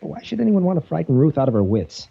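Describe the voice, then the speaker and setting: A man's voice: a little high, big pitch swings, quick pace, vocal fry, warm tone, breathy edge, exaggerated voice. A man playing a worried, earnest heir in a 1940s radio mystery, with clipped, intense delivery. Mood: worried, bitter. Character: worried, earnest male heir pleading with friend. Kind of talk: 1940s radio drama acting. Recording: muffled old-time radio recording.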